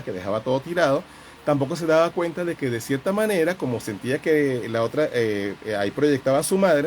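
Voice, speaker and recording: A man gives an informal live talk in the role of a counselor. His mid-pitched voice moves in a halting, reflective way, circling back over phrases as he explains. A faint noise sits behind him. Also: a little mellow. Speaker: relationship counselor and host.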